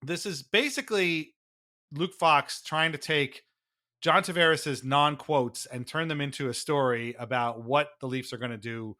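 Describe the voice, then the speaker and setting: A man's voice: on the high side, moderate pace, sharp tone. A man co-hosting a casual sports podcast, talking in a wry, amused, conversational way. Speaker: podcast co-host.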